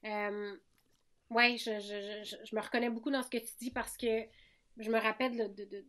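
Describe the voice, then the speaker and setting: Candid Québécois woman, fairly high voice. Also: flat delivery, moderate pace, fairly bright tone. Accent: Québécois French. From Quebec. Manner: frank, many restarts and repetitions. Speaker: podcast guest, singer. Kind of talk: candid conversational interview.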